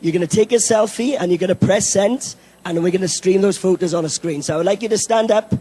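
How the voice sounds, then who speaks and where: A Welsh man speaks to a conference audience in a hoarse voice that sits high for a man yet sounds mellow and warm. He is upbeat and self-deprecating, with plenty of ums and uhs. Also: fast. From Wales. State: hoarse, lost his voice. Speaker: event technology presenter. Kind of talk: conference presentation. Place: conference hall.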